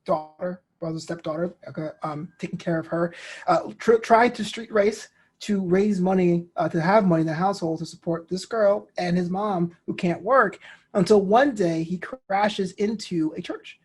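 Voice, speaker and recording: Guy guest on an interview, husky voice, chatty and animated, super informal. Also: sits high, normal speed. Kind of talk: casual conversational interview.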